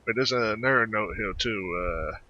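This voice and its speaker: Male gamer, lowish and very sharp-edged voice, halting casual commentary that stumbles and rambles, dull lo-fi mic. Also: a little hiss or hum. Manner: halting, rambling, trips over words. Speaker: gamer recording a playthrough. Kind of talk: casual gameplay commentary, muttering and reading text aloud.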